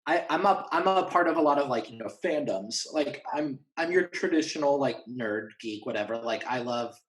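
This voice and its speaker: A man's voice: mid-range voice, lively intonation, moderate pace. A man chatting casually as an interview guest, rambling enthusiastically and acting out little imagined exchanges. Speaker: interview guest, horror fan and writer. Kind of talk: casual conversational chat.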